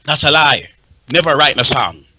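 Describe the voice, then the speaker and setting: A man's voice: a little high, big pitch swings, moderate pace, pushed and bright, dry recording, poor audio. An impassioned man with a Jamaican accent speaking emphatically and repeating himself in a heated radio discussion. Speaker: talk show panelist.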